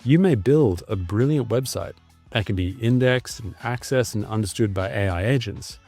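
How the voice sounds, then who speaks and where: A man's voice: on the low side, normal speed, darkish tone, soft background music. A man with an Australian accent, a guest on a podcast, explaining fluently in a casual conversation over a video call.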